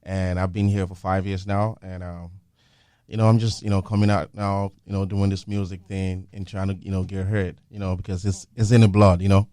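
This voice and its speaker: Nigerian guy chatting on radio, kinda deep clean voice, says you know a lot, tells stories casually. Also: flat delivery, fairly quick, warm tone, dry recording, clean studio sound. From Lagos, Nigeria. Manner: informal storytelling, leans on "you know". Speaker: interview guest, musician. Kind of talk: casual radio interview chat. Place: radio studio.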